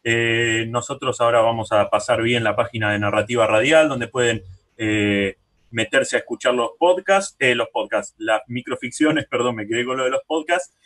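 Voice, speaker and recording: A man's voice: medium pitch, moderate pace, a bit bright. An Argentine man hosting a radio interview in a conversational style.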